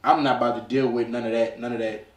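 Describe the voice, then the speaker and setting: A man's voice: mid-range voice, little pitch movement, fast talker, distant mic. A young man around nineteen talks casually on a group podcast. His delivery is slangy and emphatic, often circling back on phrases. The room is echoey and he sounds some way from the mic. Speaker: podcast co-host. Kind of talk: casual group chat about relationships.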